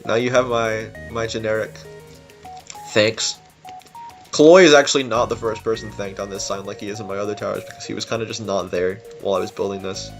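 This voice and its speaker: A man's voice: on the low side, steady tone, brisk. Rambling casual man over music. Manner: rambling, informal. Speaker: game level creator giving developer commentary. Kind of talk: casual unscripted gameplay commentary. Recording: music behind the voice. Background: game music.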